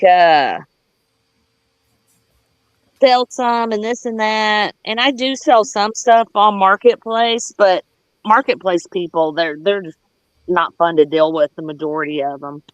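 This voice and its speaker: A woman's voice: medium pitch, dry recording, low-quality recording. A woman chatting casually and telling a personal story, candid and sweary, wandering into side details and quoting people as she goes. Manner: candid, profane, rambling with digressions; acts out quoted dialogue.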